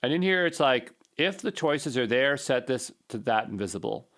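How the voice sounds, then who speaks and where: A man's voice: mid-range voice, expressive, moderate pace, fairly bright tone. Male programmer, mid-range voice, casual think-aloud screencast delivery, informal and self-muttering. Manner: informal, muttering to himself while troubleshooting. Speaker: programmer recording a coding session.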